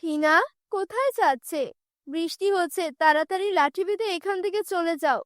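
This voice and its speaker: A woman's voice: extremely high pitch, normal speed, no room sound, clean studio sound. A woman voicing an overworked, impatient village mother in a children's cartoon, nagging in an exasperated manner. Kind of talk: children's fairy-tale cartoon voice acting.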